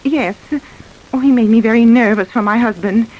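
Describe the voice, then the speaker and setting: An actress playing a nervous, deferential young wife being questioned in an old-time radio drama. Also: female voice, on the high side, normal speed, lightly acted. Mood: anxious. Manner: hesitant, meek, anxious. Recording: muffled, hissy old radio recording.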